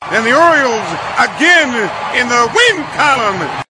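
A man doing an excited baseball play-by-play call in an archived broadcast clip. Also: very high voice, unhurried, background noise.

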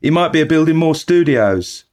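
A man voicing a young Cockney bellboy who talks in rough working-class speech, full of sirs. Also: a little high, animated, normal speed, darkish tone, voice pushed up, natural voice. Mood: chatty. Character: young Cockney bellboy, plain-spoken and earnest.

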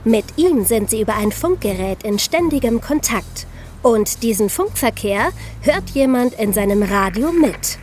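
A woman with a slightly high voice co-hosts a podcast. Her delivery sits between story narration and light, conversational explaining. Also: expressive, a little slow, a little mellow, a little hiss or hum. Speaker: podcast co-host.

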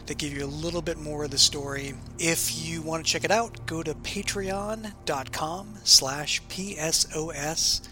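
A man's voice: fairly high pitch, fairly even intonation, a little slow, pushed and bright, faint music behind. A man who created a podcast, giving a scripted announcement to listeners.

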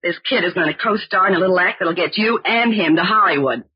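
Casting: a scheming, bossy gun moll in an old radio thriller, strongly raspy-husky, snapping short orders, muffled recording. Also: female voice, on the low side, fast, no room sound. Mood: scheming, impatient. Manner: curt, commanding, slangy. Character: hard-boiled, bossy female stick-up artist, scheming and cool. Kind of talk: 1940s radio crime drama acting.

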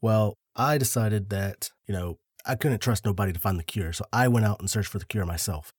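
A man co-hosting a podcast, telling a story in a laid-back, deliberate way with dry jokes, a little short of breath. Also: lowish voice, slightly rough, no echo. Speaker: podcast co-host.

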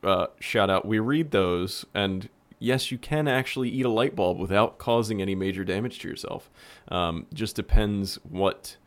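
Man, deep pitch, conversational, podcast participant. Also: moderate pace. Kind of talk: casual discussion.

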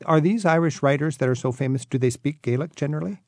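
A man's voice: a little high, fast talker, a little mellow, no room sound. A man hosting a travel interview, asking curious, enthusiastic questions. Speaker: host.

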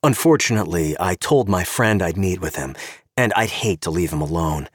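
Male narrator as a guarded, polite American man, low and husky, close and dry. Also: lively intonation, normal speed, no echo. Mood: courteous. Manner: evenly courteous.

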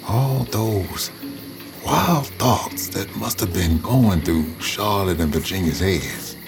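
Very deep, brooding Southern narrator. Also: male voice, expressive, creaky, music in the background, heavily acted. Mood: somber, brooding. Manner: brooding, measured. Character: cynical, reflective man narrating a bitter past. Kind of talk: first-person dramatic narration.

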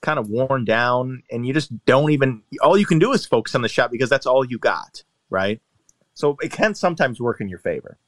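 A man's voice: medium pitch, animated, brisk, no echo. Rambling, self-mocking man on a podcast. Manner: rambling, self-deprecating storytelling. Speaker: golfer guest and regular of the podcast. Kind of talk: casual podcast chat.

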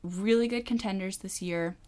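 Soothing, calm young woman podcaster. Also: mid-range voice, normal speed. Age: young adult. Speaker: podcast co-host. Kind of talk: casual pop culture podcast chat.

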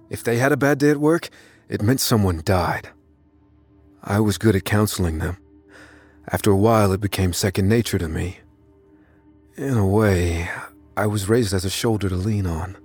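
An unassuming, anxious man with a low voice, narrating a mystery in the first person. He speaks in a measured, confessional way, as in a fiction podcast. Soft music sits faintly behind him. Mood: confiding, reflective. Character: anxious unassuming man recounting his eerie, secret experience.

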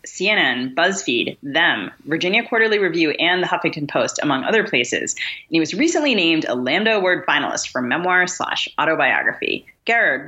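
A woman's voice: low-pitched, bright, forceful tone. A woman co-hosting a podcast, introducing guests and asking them questions in a conversational interview style. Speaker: podcast co-host. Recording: dull lo-fi sound.

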